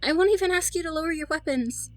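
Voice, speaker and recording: A woman playing a grieving, newly turned vampire mother in an audio drama, pleading in a hesitant, halting way. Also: very high-pitched, a little hiss or hum. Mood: wary, earnest. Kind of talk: cinematic audio roleplay acting.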